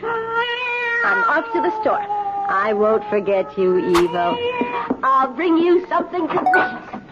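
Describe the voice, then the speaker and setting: On a noisy vintage radio recording, a brazen girl intruder with a high voice. She is bossy and mocking, swooping through her lines with sly, breezy control in a mystery drama. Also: wildly swooping pitch, slow pace, exaggerated voice. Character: brazen, manipulative young female intruder, slyly taunting. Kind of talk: 1970s radio mystery acting. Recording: noisy, dull 1970s radio broadcast recording.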